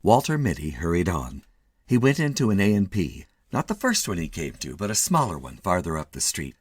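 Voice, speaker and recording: A man giving an expressive, theatrical reading of a literary short story as its narrator. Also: deep voice, a little fast, slightly rough, dry recording.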